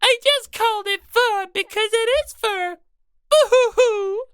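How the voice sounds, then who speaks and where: Whiny little girl rabbit mascot, squeaky, extremely high, bright, plaintive, audiobook character. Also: a little slow, no room sound, clean studio sound. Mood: tearful, whining. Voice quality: squeaky, childlike. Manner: plaintive, wailing, indignant.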